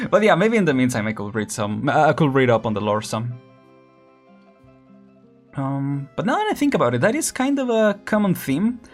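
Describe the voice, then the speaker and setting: A man's voice: on the high side, big pitch swings, a little slow, long pauses, soft background music. A man chatting casually on a game stream, rambling with many fillers, restarts and small laughs.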